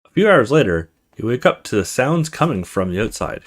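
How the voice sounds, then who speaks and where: A man reads a visual novel aloud as a let's play host. His mid-pitched voice swings widely as he tells the story dramatically, dropping into offhand commentary along the way. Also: very animated, fairly quick.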